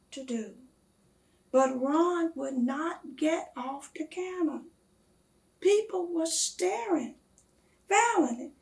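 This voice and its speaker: A woman with a notably high voice reads a children's storybook aloud. She takes her time, speaking deliberately and expressively to young listeners. A creaky edge runs through her voice. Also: darkish tone. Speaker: read-aloud guest reader.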